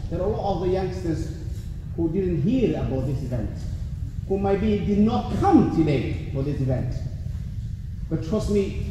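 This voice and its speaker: A man's voice: sits high, animated, unhurried, pauses often. A man from Africa, a native Somali speaker with a Welsh-tinged English accent, giving an earnest motivational speech at a community event and often saying "trust me" to the crowd. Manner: earnest, repeats "trust me", addresses the crowd directly. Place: large hall at a community event. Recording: echoey hall from far off, noisy.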